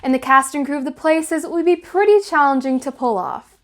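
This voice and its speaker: A young woman with a notably high voice narrates a scripted news report. She speaks as a student reporter introducing and linking a story. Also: a little fast, a bit bright.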